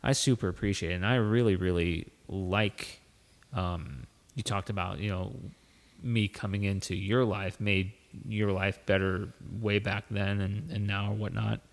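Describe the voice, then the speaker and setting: A man with a lowish voice, talking earnestly and rambling with many restarts as a podcast host. The sound has a long, hall-like reverb. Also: fairly even intonation, a little slow. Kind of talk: casual chat.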